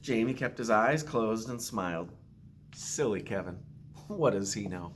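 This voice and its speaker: A man who is a school principal reads a picture book aloud to children with expressive, simple storytelling phrasing. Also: on the low side, a little slow, slight background noise. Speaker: school principal reading to pupils.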